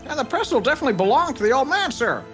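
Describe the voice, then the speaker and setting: A woman's voice: sits high, very animated, racing, soft background music. A woman voicing a blustery, folksy male police detective in a live fan dub of a video game. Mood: blustery, confident. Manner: folksy, emphatic, ends phrases with pal or sir.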